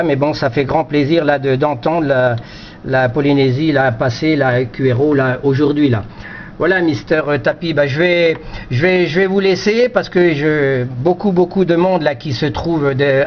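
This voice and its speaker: A man from France chatting casually as an amateur radio operator, repeating himself and punctuating phrases with small filler words. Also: fairly high pitch. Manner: repetitive, punctuates phrases with "là" and "voilà". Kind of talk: casual radio contact chat. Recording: dull lo-fi sound, faint noise.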